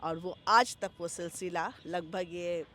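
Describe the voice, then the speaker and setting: A middle-aged woman from Bihar, India, a stage anchor and folk dancer, answering interview questions in a measured, articulate announcer's manner. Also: on the low side, fairly even intonation, music in the background.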